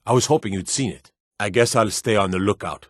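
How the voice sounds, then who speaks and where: An actor playing a stern, dutiful fantasy guard and soldier in a video game, formal and commanding, with measured delivery. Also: male voice, fairly low pitch, no room sound, clean studio sound, natural voice. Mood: stern, matter-of-fact. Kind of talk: scripted fantasy game dialogue.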